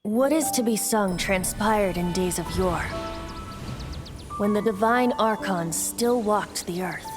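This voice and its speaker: Over prominent music, a wistful bard recites an epic ballad in a video game, played by a woman. The delivery is slow, stately and lyrical, at a medium pitch. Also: close to an everyday voice. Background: loud background music.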